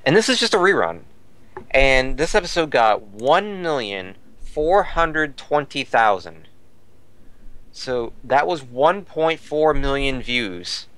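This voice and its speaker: A man's voice: mid-range voice, very animated, slow pace, a bit bright. Man explaining in detail, casual podcast talk. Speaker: podcast panelist. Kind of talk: casual discussion, reporting figures.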